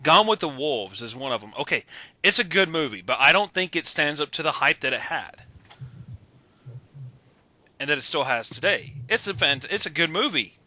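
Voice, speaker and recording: A man with a very bright, forceful voice chats casually about movies. He speaks as an opinionated guest commentator over a voice call. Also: a little high, sing-song, normal speed, no room sound. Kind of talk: casual opinionated film discussion. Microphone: voice call on a computer.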